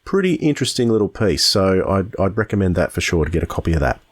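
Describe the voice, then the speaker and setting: Low-voiced male podcast co-host. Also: very animated, quick pace. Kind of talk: casual podcast chat.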